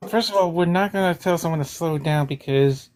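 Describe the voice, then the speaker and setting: A woman's voice: lowish voice, a little mellow. Sarcastic woman lecturing in a voice chat. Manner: sarcastic, lecturing, deliberately slow at times. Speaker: debater in an online voice chat. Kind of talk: heated political argument.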